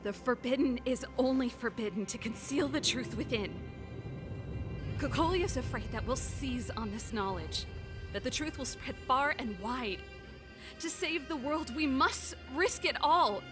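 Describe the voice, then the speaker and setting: A woman's voice: high voice, expressive, a little slow. A woman playing an earnest, principled rebel elder sister in a video game, with a resolute, pleading delivery. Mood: defiant, resolute. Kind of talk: dramatic video game voice acting. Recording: game music under the voice.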